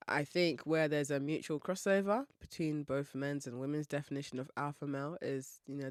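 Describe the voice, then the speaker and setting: A woman's voice: deep voice, no echo, studio quality. A young woman from hosting a solo podcast in a chatty, playful way, often laughing and asking listeners questions. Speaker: podcast host.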